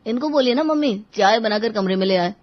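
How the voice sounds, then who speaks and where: A woman voicing a lazy, entitled grown son who whines and demands, in an animated story. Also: a little high, brisk, no echo, lo-fi sound, close to an everyday voice. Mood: demanding. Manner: whiny, demanding.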